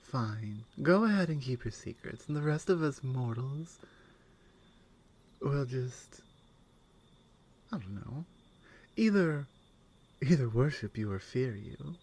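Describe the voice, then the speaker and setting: A woman playing a strong, wry, skeptical Nordic warrior companion in a soft, intimate ASMR roleplay, musing with pauses and murmured asides. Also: extremely low pitch, lively intonation, slightly rough, heavily acted. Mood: concerned, wry.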